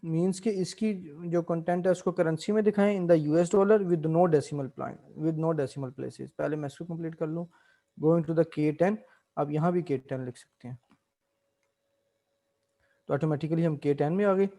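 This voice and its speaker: Man, instructor, relaxed dark tone, slightly raised pitch, steady explaining. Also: unhurried. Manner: mixes English terms into explanations, steady teaching pace. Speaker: Excel instructor. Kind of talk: screen-recorded software tutorial.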